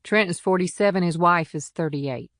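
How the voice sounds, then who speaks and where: Woman acting small-town female cop, low voice, frank, composed, matter-of-fact, audiobook dialogue, dry sound. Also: normal speed, dry recording, lightly acted.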